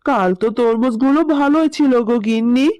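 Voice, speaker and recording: Cartoon father fox, played by a woman: poor, plaintive, wailing husband, high-pitched for the part, dry sound. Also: brisk, darkish tone, no room sound, close to an everyday voice. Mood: despairing. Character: poor distressed father fox, lamenting to his wife. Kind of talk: Bengali children's cartoon dubbing.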